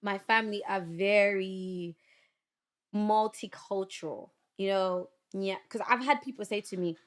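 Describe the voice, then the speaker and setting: A woman in her thirties from Zimbabwe, a guest telling stories with lively energy in a podcast studio. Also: medium pitch, measured pace. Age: 30s. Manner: lively storytelling, acting out voices. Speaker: guest broadcaster. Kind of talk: casual conversation. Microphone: studio mic.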